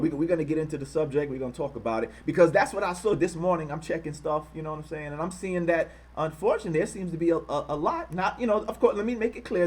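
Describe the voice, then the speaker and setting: Fervent American male host, livestream, fairly high-pitched voice, fires off repeated rhetorical questions. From United States. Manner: impassioned, repetitive rhetorical questions; greets and thanks live viewers in a softer tone. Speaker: online channel host. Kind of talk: live-streamed commentary.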